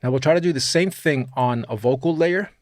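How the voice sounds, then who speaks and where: Guy doing a software tutorial, casual and opinionated, medium pitch voice, really dry sound no room. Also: fairly quick, no echo. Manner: informal, opinionated. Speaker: music production tutorial host.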